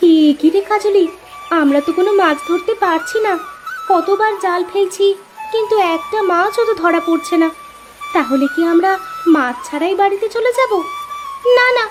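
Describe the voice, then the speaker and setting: A young village sister in a cartoon moral tale , voiced by a woman, talks in a very high, girlish pitch. She is earnest, chatty and determined, with prominent music underneath. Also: animated, normal speed.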